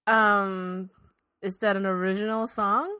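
Deadpan woman, dry close sound. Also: mid-range voice, slow, smooth, no echo. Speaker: podcast co-host. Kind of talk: scripted narration and casual comedy chat.

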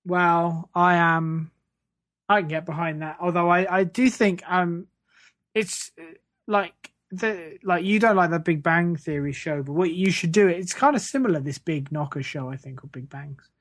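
Podcast co-host chatting, dry and teasing, kinda mock-earnest, mid pitched voice. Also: unhurried, fairly bright tone. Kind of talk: casual chat.

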